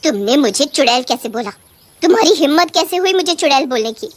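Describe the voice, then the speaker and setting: A woman's voice: high voice, animated, fairly quick, a little hiss or hum. A woman voicing a snobbish city woman turned witch, haughty, dramatic and easily disgusted, in a cartoon story. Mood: indignant.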